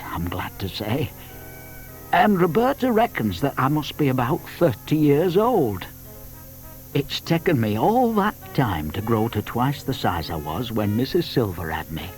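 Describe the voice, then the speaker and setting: A man playing a genial, wry old tortoise who narrates a children's audio story in an expressive, confiding way. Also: mid-range voice, rough recording.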